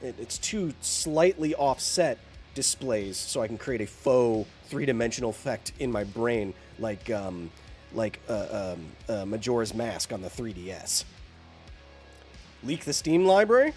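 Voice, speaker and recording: A man's voice: mid-range voice, expressive, measured pace, a little mellow, faint music behind. A man live-streaming a game, chatting to his viewers in a rambling, jokey way while getting over bronchitis, a little congested. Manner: rambling, jokey, talks to chat.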